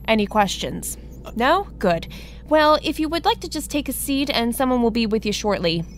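An actress playing an unruffled, businesslike insurance receptionist, patiently explaining in a comedy audio drama. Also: female voice, fairly high pitch, sing-song, normal speed, a little hiss or hum. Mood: brisk, composed. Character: brisk, unruffled female insurance office receptionist.

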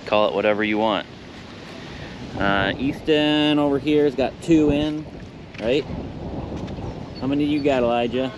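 A man's voice: mid-range voice, a little slow, long pauses, highs cut off. A man narrating a fishing trip in an easygoing, chatty play-by-play, filmed outdoors on a pier with an action camera. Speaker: vlogger filming a fishing trip. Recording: windy outdoor action-cam audio.

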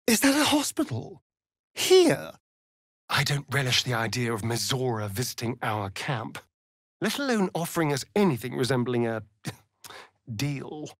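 A man's voice: medium pitch, big pitch swings, unhurried, long pauses, a bit bright, no room sound, clean studio sound, heavily acted. A man with an English accent playing a vain, sardonic aristocratic vampire rogue in a video game, theatrical and arch. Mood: indignant, arch, then wry, amused.

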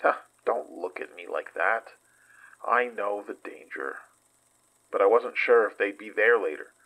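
An actor playing a weary investigator in a horror audio drama, husky and halting as he recounts danger. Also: on the low side, sharp tone, rough recording. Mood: weary, guarded.

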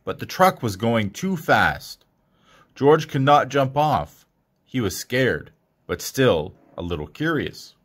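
A man reads a picture book aloud for young children. His mid-pitched voice moves up and down in a lively way, with expressive storytelling delivery throughout. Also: takes it slowly. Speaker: storybook reader.

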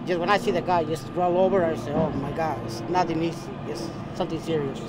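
Male eyewitness, very high pitch, non-native English, noisy street behind. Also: steady tone, quick pace. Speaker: eyewitness being interviewed. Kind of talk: spontaneous eyewitness account. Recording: clear street and car noise.

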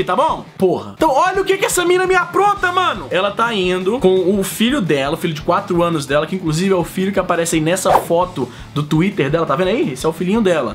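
Vlogger man in his thirties with a head cold, high, swinging voice, profane rant to camera, faint music under it. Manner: animated, profane, slangy, big hand gestures. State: sick with a cold, coughing. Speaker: vlogger host. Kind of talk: casual ranting vlog. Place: home room with shelves of collectibles. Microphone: fixed camera, close framing.

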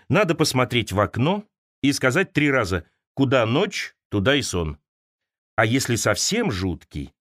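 A man reads literary prose as an audiobook narrator. His mid-pitched voice moves through a wide, lively range of intonation. The sound is dry, with very little room around it. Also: no echo. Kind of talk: literary essay read aloud, scripted narration.

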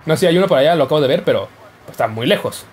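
A man's voice: on the high side, lively intonation, racing, a little hiss or hum. A young man giving casual, joking commentary over his gameplay. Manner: playful, jokey, yelps and laughs while playing. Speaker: gaming YouTuber.

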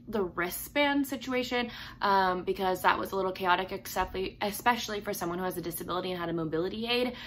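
A hoarse young woman in her twenties, chatting casually to camera about books at home, with lots of fillers and likes. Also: medium pitch, fairly bright tone, slight background noise. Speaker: book vlogger.

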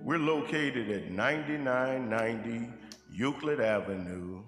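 An older American man at a church pulpit, reading scripture and welcoming the congregation in a slow, folksy call-and-response way. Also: mid-range voice. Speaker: church elder giving the welcome. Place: large church sanctuary. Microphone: pulpit mic on a stand. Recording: echoey church hall with music.

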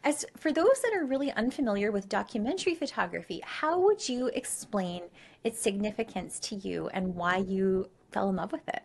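A woman's voice: mid-range voice, lively intonation, normal speed, gravelly, slightly rough. A middle-aged woman hosting an upbeat podcast interview in an effusive, emphatic way, close on the mic. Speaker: show host. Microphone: close podcast mic on a boom arm.

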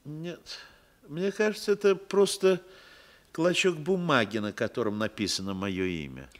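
An actor playing a wily, haughty Sicilian mafia boss in a 1960s radio drama, deliberate, evasive and sardonic. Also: male voice, a little high, natural voice. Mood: indignant.

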